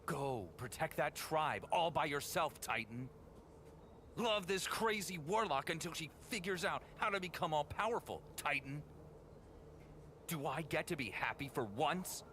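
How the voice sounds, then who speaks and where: A man's voice: on the high side, fairly bright tone, slight background noise. A man acting a weary, self-doubting hero in a fan-made science fantasy audio drama. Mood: bitter, anguished. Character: weary, self-doubting heroic young male Titan guardian.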